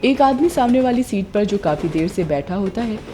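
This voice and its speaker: A woman's voice: mid-range voice, animated, normal speed, background noise. A woman narrating a scripted horror story as the storyteller. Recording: music behind voice.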